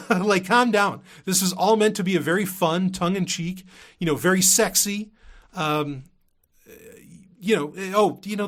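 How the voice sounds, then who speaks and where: A man's voice: high-pitched, fairly bright tone. A man hosting a solo podcast, talking to his listeners in an informal, rambling, emphatic way. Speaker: podcast host.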